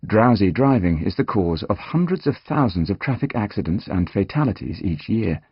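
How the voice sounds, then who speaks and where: A man reading scripted nonfiction as an audiobook narrator, measured and articulate, with dramatic emphasis. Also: on the low side, sing-song, husky, no echo, highs cut off.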